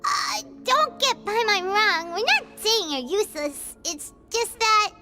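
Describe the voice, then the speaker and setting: A woman voicing a small, eager, protective childlike floating companion with a squeaky voice in a video game. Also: sing-song, slow, full character voice. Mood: concerned. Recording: loud music behind the voice.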